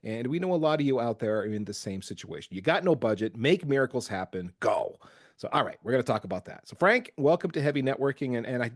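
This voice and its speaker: A man hosting a tech podcast, talking with a lively, swooping voice and laughing easily as he chats. His sound is dry and close, with no room around it. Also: medium pitch, quick pace, no room sound. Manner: animated, laughs often, swings between loud and soft. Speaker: podcast host. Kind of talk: casual interview chat.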